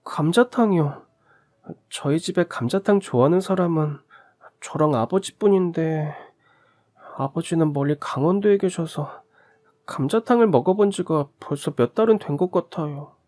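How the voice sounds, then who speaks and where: A man's voice: a little high, normal speed, a little mellow, pitched above the performer's own voice, close to an everyday voice. Lonely polite little kid as a guy voices him, earnest and sorry-sounding, radio story. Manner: apologetic, earnest. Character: young boy, lonely and polite. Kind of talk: storybook radio drama acting.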